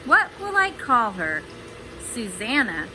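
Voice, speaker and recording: Woman reading as a high-voiced rustic old wife. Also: huge pitch swings, slow, noisy background, exaggerated voice. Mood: delighted, tender. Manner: rustic, ungrammatical speech. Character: old fisherman's wife, plain-spoken, easily delighted old woman. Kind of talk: children's storybook read-aloud.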